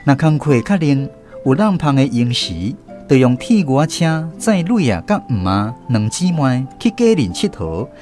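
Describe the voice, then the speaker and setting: A man with a Taiwanese accent narrating a radio drama in a folksy, expressive storytelling manner. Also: medium pitch, moderate pace, faint music behind.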